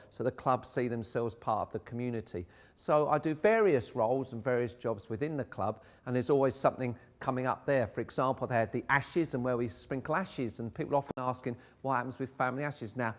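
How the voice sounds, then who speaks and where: A middle-aged man with a South London accent, a vicar talking about his life in a documentary interview, chatty and rambling with many fillers. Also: medium pitch. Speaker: vicar and football club chaplain. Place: church. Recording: long hall reverb.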